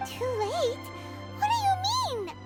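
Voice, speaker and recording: A woman voicing a tiny, excitable, blunt female fairy companion with a childlike voice in a video game. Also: a little slow, exaggerated voice. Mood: alarmed. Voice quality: squeaky, childlike. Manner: exclamatory, third-person self-reference. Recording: music playing behind the voice.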